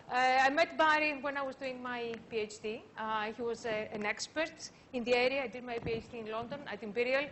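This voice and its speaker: A woman lecturer giving an academic conference talk, speaking in a halting way with frequent filler sounds. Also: on the high side, fairly bright tone, slight background noise, poor audio.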